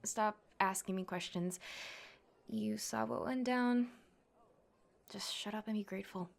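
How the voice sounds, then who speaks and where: A woman's voice: medium pitch, a little slow, gravelly. A young woman playing a tough, cocky tsundere classmate who fights MMA, brash, bossy and teasing, in an ASMR roleplay. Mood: annoyed, bossy. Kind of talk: ASMR girlfriend roleplay acting.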